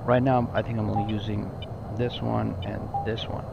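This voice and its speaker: A man's voice: fairly low pitch, flat delivery, moderate pace, gravelly, dull audio, noisy background. A man giving a casual, explanatory walkthrough of a flight simulator cockpit, informally repeating and rephrasing as he goes. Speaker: gaming tutorial presenter.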